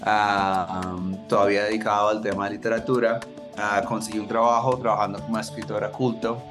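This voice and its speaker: Tipo de los ángeles en español con acento americano, tono monótono, música de guitarra detrás. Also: male voice, fairly low pitch, flat delivery. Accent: American English. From United States. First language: English. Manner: halting, restarts words, chuckles at himself. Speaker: entrepreneur interviewee. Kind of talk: telling his life story. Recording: guitar music underneath.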